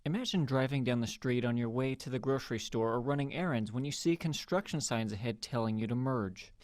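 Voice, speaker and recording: A man giving a scripted TV news report in a measured, emphatic reporter's delivery. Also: mid-range voice, very noisy.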